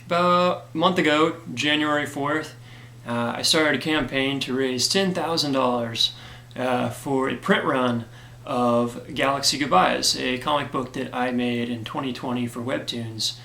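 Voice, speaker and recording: A man who created a comic gives an earnest, grateful update to his backers, hoarse from a cold and filling his talk with "uh". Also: medium pitch, measured pace, sharp tone, reverberant. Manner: earnest and grateful, many fillers. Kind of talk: casual direct-to-camera talk.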